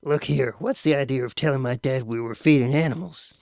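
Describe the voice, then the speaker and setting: A man's voice: mid-range voice, fairly quick, gravelly, a little mellow, no room sound, studio quality, close to an everyday voice. A man reading aloud voices a talkative, nervous boy who rambles and defends himself. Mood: fierce.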